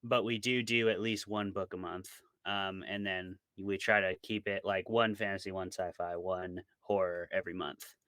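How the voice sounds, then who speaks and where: A man talks casually as an interview guest, with a low, bright voice. The sound is close and dry, and his explanations are informal and conversational. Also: fairly even intonation, normal speed, hardly any pauses, clear, resonant tone, no room sound. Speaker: podcast co-host and author being interviewed.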